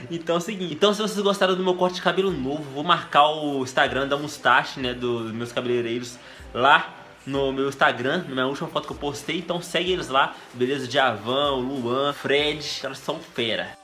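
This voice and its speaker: Bright-voiced young man with a cold. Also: mid-range voice, fairly quick. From Brazil. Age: young adult. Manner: excitable, laughing, slangy. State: congested with a cold. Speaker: gaming youtuber. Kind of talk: casual vlog chat. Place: small room at home.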